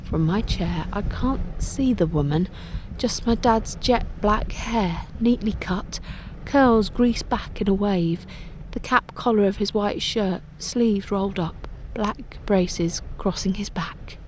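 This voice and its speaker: A woman reading a first-person audio drama as narrator, expressive and suspenseful. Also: mid-range voice, slow, slight background noise, rough recording. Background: music.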